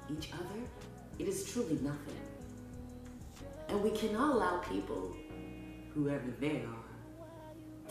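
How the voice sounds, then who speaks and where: A woman from Canada hosting a podcast, speaking in an earnest, emphatic, preacher-like way as she delivers a motivational spiritual monologue. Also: lowish voice. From Canada, with family from Jamaica. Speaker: podcast host. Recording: roomy echo, distant mic, music behind. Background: music.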